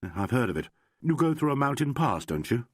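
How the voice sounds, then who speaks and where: A man voicing an urbane gentleman detective who talks quietly in a musing, wry way. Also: medium pitch, very animated, fairly quick, breathy edge, no echo, studio quality.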